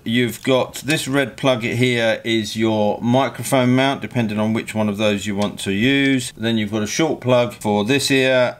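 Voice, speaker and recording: A man giving a hands-on product review in a practical, matter-of-fact, explanatory way. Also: mid-range voice. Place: indoor motorcycle workshop bench.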